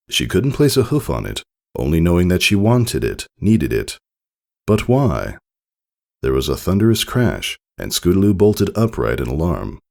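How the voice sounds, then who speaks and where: A man narrating a scripted story in a measured, dramatic storybook style. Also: deep voice, vocal fry, a little mellow. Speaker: fan fiction narrator.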